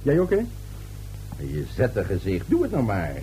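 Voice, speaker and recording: A middle-aged family man in a radio drama, brusque and domineering. His voice is mellow and swings widely in pitch as he scoffs and waves things away. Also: wildly swooping pitch, normal speed, soft background music. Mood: breezy. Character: domineering, dismissive middle-aged father and husband. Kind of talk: radio play acting.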